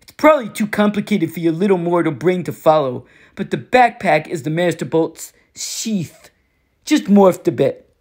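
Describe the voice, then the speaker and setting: A woman's voice: fairly low pitch, animated, normal speed, a little mellow. A woman voicing a smug, menacing adult male god of war who taunts and boasts, in an audiobook reading. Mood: taunting. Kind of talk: audiobook character voice.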